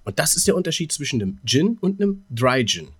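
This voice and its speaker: A man's voice: mid-range voice, very animated, quick pace, slightly rough. A man co-hosting a podcast in casual, joking chat. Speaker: podcast co-host.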